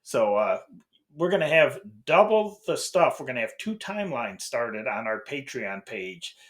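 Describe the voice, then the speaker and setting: A middle-aged man with an American accent speaks at a medium pitch. He talks off the cuff like a channel host giving an update, wandering through his thoughts with hesitations and repeated words. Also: big pitch swings, unhurried, fairly bright tone. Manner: rambling, hesitant, often breaking off and repeating himself. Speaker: host of an old-time radio channel. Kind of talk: casual unscripted channel update.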